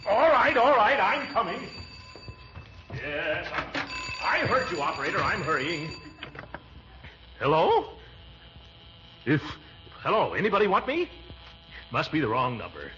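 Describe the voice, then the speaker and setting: Blustery uncle, wildly swooping voice. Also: male voice, sits high, wildly swooping pitch, unhurried, long pauses, exaggerated voice. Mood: flustered, grumbling. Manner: blustering, muttering, sputtering with chuckles. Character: blustery, flustered, pompous middle-aged uncle. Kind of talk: 1940s radio sitcom acting. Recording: muffled, very noisy 1940s radio recording.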